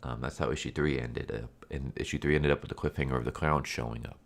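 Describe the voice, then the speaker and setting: Man, very deep voice, stuffy and congested, rambling casual comic reviewer, lots of fillers. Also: steady tone, fast, vocal fry. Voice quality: nasal, stuffy. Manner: rambling, full of fillers and restarts. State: congested from illness.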